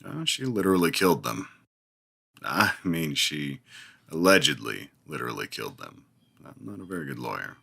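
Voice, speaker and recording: A man playing a hapless male lawyer, husky and gravelly, rambling hesitantly. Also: extremely low pitch, sing-song, moderate pace, pushed and bright, exaggerated voice. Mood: worried. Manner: hesitant, rambling legal talk.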